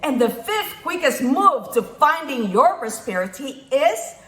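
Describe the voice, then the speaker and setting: A woman's voice: high-pitched, very animated, measured pace, a bit bright. A middle-aged woman with a Filipino accent giving a motivational talk in numbered points, stressing and repeating her key phrases. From Philippines. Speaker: motivational speaker, physician and investor. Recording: echoey room sound.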